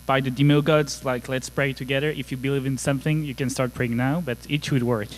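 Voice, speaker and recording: A man's voice: medium pitch, fairly even intonation, fairly quick, slight background noise, rough recording. A man in his thirties from France with a French accent, giving a joking, casual conference talk full of fillers. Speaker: conference speaker. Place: conference hall.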